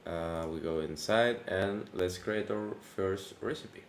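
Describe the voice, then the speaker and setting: A man hosting a casual coding tutorial, his voice deepened by allergies, talking in a relaxed, chatty way with many fillers and restarts. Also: slow pace. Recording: echoey room with faint background noise.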